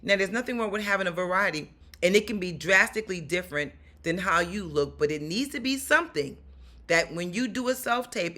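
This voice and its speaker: A raspy-voiced woman with a New York accent talks straight to the camera in a casual advice vlog. Her tone is bright and upbeat, animated and down-to-earth. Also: fairly low pitch. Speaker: actor and stunt performer giving career advice.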